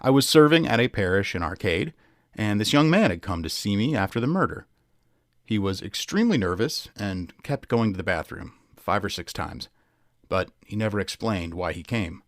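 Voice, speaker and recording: A man's voice: fairly low pitch, lively intonation, normal speed, no echo, clean studio sound. A man giving a scripted dramatic reading of a true crime newspaper article, as narrator.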